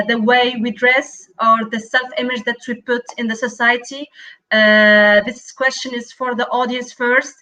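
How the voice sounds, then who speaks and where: A woman hosting a panel discussion, speaking in halting phrases with repeated words as she puts questions to the others. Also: a little high, sharp tone, low-quality recording. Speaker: podcast host.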